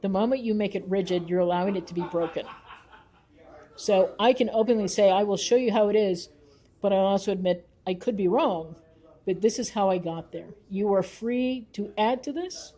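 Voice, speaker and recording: A woman with a soft, dark-toned, mid-pitched voice speaks as an interviewed researcher. She is conversational but emphatic, voicing short imagined exchanges to make her case. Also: moderate pace.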